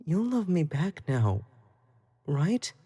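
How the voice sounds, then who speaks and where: A man's voice: fairly high pitch, very animated, takes it slowly, dark tone, slightly rough, heavily acted. A man playing an obsessive, jealous young pop idol in a dramatic roleplay monologue, speaking intimately to the listener and swinging between sweet pleading and cold condescension. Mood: lovesick, pleading.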